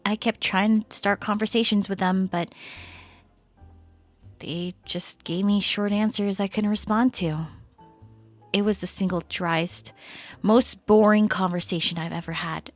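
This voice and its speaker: A woman playing a chatty, teasing female best friend in casual, animated roleplay audio. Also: medium pitch, unhurried, dry recording, faint music behind, close to an everyday voice. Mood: exasperated, chatty.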